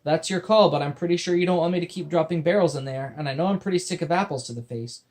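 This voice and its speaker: A man's voice: a little high, quick pace, darkish tone, pitched above the performer's own voice, natural voice. A man voicing a weary young man bargaining with a captor in a dry, matter-of-fact way.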